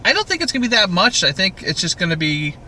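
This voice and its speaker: High, bright-voiced man ranting casually. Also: sing-song, quick pace, a little hiss or hum. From Canada. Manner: ranting, exasperated, sweary. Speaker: podcast co-host. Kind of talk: casual TV recap chat.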